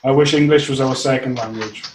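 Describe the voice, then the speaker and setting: A man's voice: medium pitch, fairly even intonation, fairly quick. A man co-hosting a podcast, laid-back, mostly giving short replies. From Wales. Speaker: podcast co-host. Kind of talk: casual podcast chat. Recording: roomy echo, slight noise.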